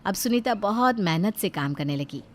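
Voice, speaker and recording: A woman's voice: mid-range voice, lively intonation, normal speed, a little hiss or hum. A woman narrating a scripted moral story as a storyteller.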